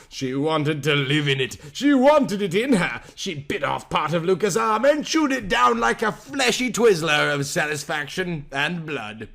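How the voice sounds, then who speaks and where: Melodramatic male story narrator, very animated. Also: high voice. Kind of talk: dramatic amateur story reading.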